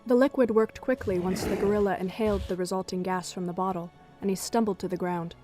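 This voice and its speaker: A woman's voice: mid-range voice, steady tone, quick pace, over music. A woman narrating a scripted adventure story for a fan audio drama.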